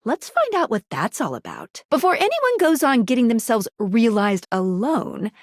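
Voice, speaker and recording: A bubbly young female guide in a video game, high-voiced and swooping in pitch. She is friendly and quirky, with playful, slangy delivery, recorded close and dry. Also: wildly swooping pitch, moderate pace, dry recording, full character voice. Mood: encouraging. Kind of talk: video game character voice lines.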